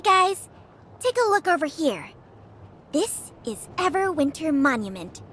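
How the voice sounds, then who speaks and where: A prim young female intelligence officer in a video game, high and expressive. She lectures like a tour guide, politely but a little sternly, over faint music. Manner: instructive, polite, slightly stern.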